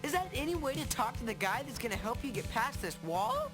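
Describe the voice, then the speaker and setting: High, cocky young rascal guy. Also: brisk. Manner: slangy, breezy, excitable. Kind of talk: exaggerated video game cartoon acting. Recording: game music under the voice.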